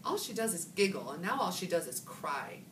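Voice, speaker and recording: A woman voicing a defensive young schoolgirl who speaks in a high, insistent way. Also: very animated, brisk. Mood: scornful. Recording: roomy, distant from the mic, faint background hiss.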